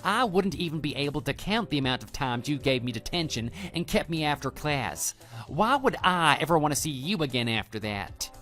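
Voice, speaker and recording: A man's voice: fairly high pitch, big pitch swings, moderate pace, pushed and bright. A man voicing a cocky, immature love rival with a brash, pushy manner in a scripted online radio drama. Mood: agitated, baffled. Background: background music.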